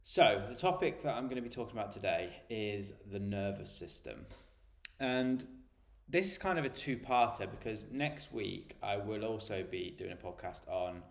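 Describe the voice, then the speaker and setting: A man's voice: lowish voice, normal speed, creaky, reverberant. A man, a health and nutrition coach, hosting a solo podcast and explaining things in a chatty, plain way while stuffed up from a cold.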